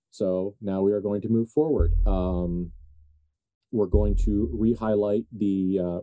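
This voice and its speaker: A man with a very dark, mellow, lowish voice runs a tabletop roleplaying game. He narrates off the cuff in deliberate, thoughtful phrases with pauses for emphasis. The sound is close and dry, with faint music underneath. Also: no room sound. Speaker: game master.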